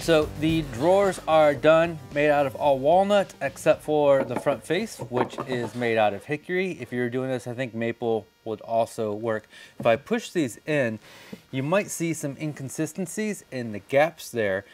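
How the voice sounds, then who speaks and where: A man's voice: medium pitch, animated, unhurried, darkish tone, soft background music. A man in his forties with an American accent, hosting a woodworking tutorial in a casual, conversational way. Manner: informal, conversational. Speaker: woodworking host. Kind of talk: casual how-to tutorial narration. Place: indoor workshop studio.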